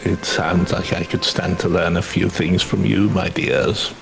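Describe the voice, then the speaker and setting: A courteous, gentle villager from a video game, voiced by a man reading along. The low voice crackles with fry and moves in formal, measured phrases. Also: little pitch movement, over music. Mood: kindly.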